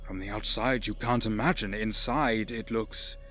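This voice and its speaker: A man playing a wary, earnest male Eldar warrior companion in a sci-fi audio drama, speaking gravely. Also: lowish voice, steady tone, measured pace, sharp tone. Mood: awed. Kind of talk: scripted audio drama acting. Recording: music under the voice. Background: music.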